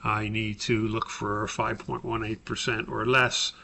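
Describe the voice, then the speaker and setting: An American man giving an informal, step-by-step software tutorial as a course instructor, pausing mid-sentence as he explains. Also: fairly low pitch, little pitch movement, vocal fry, a little hiss or hum. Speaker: course instructor recording a tutorial for his class.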